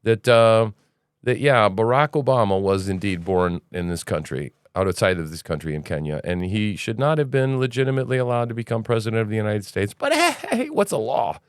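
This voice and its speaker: A man's voice: on the low side, very animated, a little fast, clear, resonant tone, dry recording, clean studio sound. A man hosting a casual news commentary podcast, rambling and opinionated, with sarcastic asides. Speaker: podcast host.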